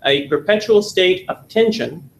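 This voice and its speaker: A man from Texas in his forties, giving an animated classroom lecture and telling jokes in a seminary meeting room. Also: sits high, a little slow, vocal fry. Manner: animated storytelling with jokes, gesturing. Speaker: seminar instructor.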